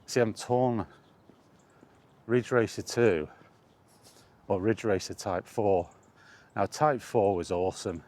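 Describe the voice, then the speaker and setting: Low-voiced man chatting casually. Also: takes it slowly, long pauses, a little mellow. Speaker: gaming vlogger. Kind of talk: casual rambling monologue about video games. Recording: clear background ambient noise.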